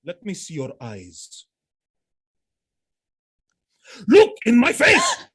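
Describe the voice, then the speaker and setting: A man playing a jealous, enraged noble commander and husband in a Shakespearean audio drama, theatrical and volatile, with a rough husky voice. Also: high voice, huge pitch swings, measured pace, heavily acted. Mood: accusing fury. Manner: theatrical, volatile, swinging from hushed to shouting.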